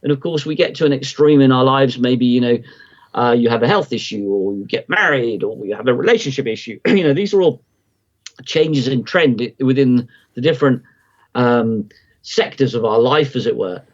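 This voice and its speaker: A man's voice: medium pitch, normal speed, lo-fi sound. A middle-aged man, a guest on a podcast, explaining his ideas in a reflective, rambling way with many restarts. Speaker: guest market analyst.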